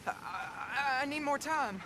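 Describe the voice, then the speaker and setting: Nervous, high-voiced stammering young debtor. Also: male voice, expressive, takes it slowly, sharp tone, a little hiss or hum, full character voice. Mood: panicky, pleading. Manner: stammering, hesitant, flustered. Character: nervous, stammering apprentice undertaker in debt. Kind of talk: video game acting.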